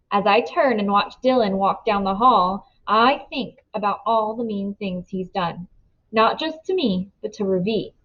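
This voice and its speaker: A woman narrating a children's novel aloud in an expressive storytelling style. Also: mid-range voice, highs cut off.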